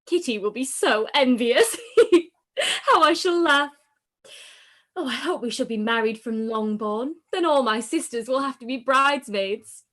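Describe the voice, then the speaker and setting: A woman's voice: fairly high pitch, a little slow, a bit bright. A woman playing a giddy, carefree teenage bride-to-be in a period radio play, gushing and boastful. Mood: excited.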